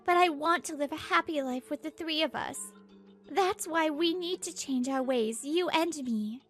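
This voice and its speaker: A high-voiced, earnest wife played by a woman narrates her home life in a manga dub. She sounds fed up yet hopeful, with music running underneath. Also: moderate pace, fairly bright tone.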